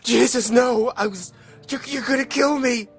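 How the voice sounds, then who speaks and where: A man plays a terrified crook in a comic drama, his voice pitched up high. He stammers and begs for his life over background music. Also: moderate pace. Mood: terrified, pleading. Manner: stammering, pleading. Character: panicked male criminal begging for his life. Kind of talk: motion comic acting.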